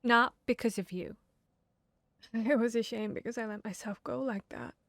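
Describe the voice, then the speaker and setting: A woman's voice: fairly high pitch, fairly bright tone, no room sound, clean studio sound. Hesitant, intimate female vampire hunter, acted by a woman. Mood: ashamed, apologetic. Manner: hesitant, halting, earnest. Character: guarded, bashful female vampire hunter confessing tender feelings. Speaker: audio roleplay voice actor. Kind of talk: ASMR roleplay, intimate dramatic monologue.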